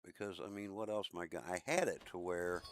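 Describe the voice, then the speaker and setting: A man with a low voice chatting casually with viewers while streaming a game, in a laid-back, talkative way. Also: quick pace. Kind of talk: casual live-stream chat.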